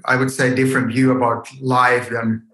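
A man's voice: medium pitch, little pitch movement, moderate pace, fairly bright tone. A man in his fifties from Finland with a Finnish accent, answering hesitantly as a guest in an interview and searching for words. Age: 50s. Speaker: podcast guest, yoga teacher. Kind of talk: unscripted interview conversation. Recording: roomy echo on the voice.

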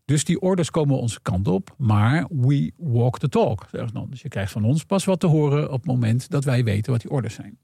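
A man's voice: medium pitch, expressive, brisk, creaky, few pauses, warm tone, husky, no room sound, clean studio sound. A man explaining conversationally as an expert guest, acting out bits of dialogue as he goes. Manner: acts out imagined dialogue while explaining. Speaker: guest analyst explaining earnings calls.

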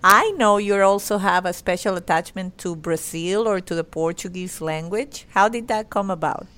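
A woman's voice: mid-range voice, moderate pace, fairly bright tone. A woman hosting a podcast in a mid-range voice. She speaks casually and thoughtfully, sharing reflections between her guest's answers. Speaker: podcast host. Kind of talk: casual conversational interview.

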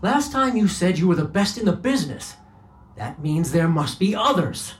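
Fed up bank robber for audio comedy, sarcastic, blunt, really up and down delivery. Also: male voice, sits high, very animated, moderate pace. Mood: fed up, determined. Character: exasperated bank robber on the run, blunt and irritable. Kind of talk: comedic audio drama acting.

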